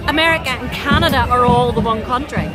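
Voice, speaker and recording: Brief: Northern Irish woman, high voice, chatty live commentator, loud marching band and crowd behind. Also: fast, loud music behind the voice. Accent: Northern Irish (Ulster). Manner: chatty, teasing, answering viewer comments. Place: outdoors at a street band parade. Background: marching bands and crowd.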